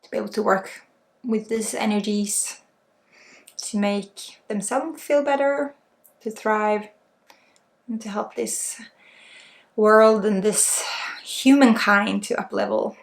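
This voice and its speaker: A woman's voice: medium pitch, steady tone, takes it slowly, gravelly, long pauses. A woman with a Swedish accent leading an unscripted ASMR spiritual healing session in a soothing, informal, reassuring way. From Sweden. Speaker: energy healer and ASMR host. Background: faint music.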